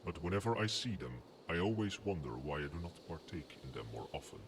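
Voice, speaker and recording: A man playing a huge old dragon in its true form for an ASMR roleplay, calm and fond, musing in formal phrases. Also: low-pitched, fairly quick. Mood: serene, fond. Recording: loud ambient noise behind the voice.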